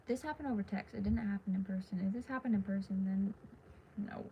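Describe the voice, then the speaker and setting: A teenage girl livestreaming from her dark bedroom at night, talking in a tired, half-whispered ramble while losing her voice. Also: mid-range voice, brisk. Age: teens. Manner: hushed, half-whispered and rambling with many fillers. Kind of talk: casual late-night livestream chat. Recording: dull lo-fi phone audio with faint hiss.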